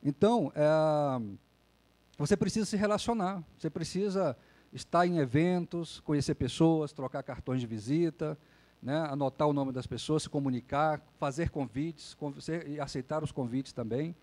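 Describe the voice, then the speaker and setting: Man, lawyer giving a lecture, mellow tone, slightly high voice, chatty, keeps asking "né?". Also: moderate pace. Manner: conversational, frequent "né?" tag questions. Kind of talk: public lecture.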